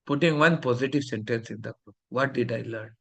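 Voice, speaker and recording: A man's voice: medium pitch, fairly quick, vocal fry. A middle-aged man from India with an Indian English accent, lecturing a fellowship class in a preachy, scolding way and mixing in Hindi.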